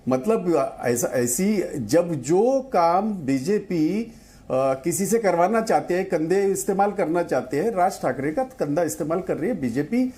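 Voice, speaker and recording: Slow, hesitant man in a political debate. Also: sits high, animated, warm tone. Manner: slow and hesitant, many pauses and fillers. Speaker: political panelist.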